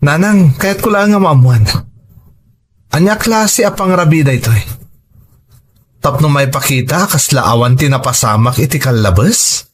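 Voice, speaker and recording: A man's voice: on the high side, lively intonation, moderate pace, long pauses, breathy edge. Bitter, accusing grown son, played by a man. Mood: reproachful. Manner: accusing, emphatic. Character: bitter, resentful grown son confronting his absent father. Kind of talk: Ilocano audio drama acting. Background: background music.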